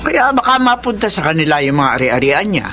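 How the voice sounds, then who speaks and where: An actress with a Filipino accent playing a good-natured, fussing wife and caretaker in a radio drama, chatty in manner. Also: female voice, sits low, sing-song, a little fast, fairly bright tone, a little hiss or hum, full character voice. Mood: fussing, cheerful.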